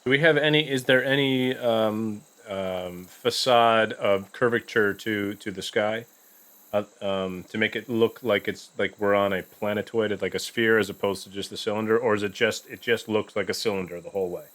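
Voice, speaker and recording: A man with a somewhat low voice takes part in a tabletop game as a player. He talks casually and tentatively, thinking his choices through out loud. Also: fairly even intonation, moderate pace.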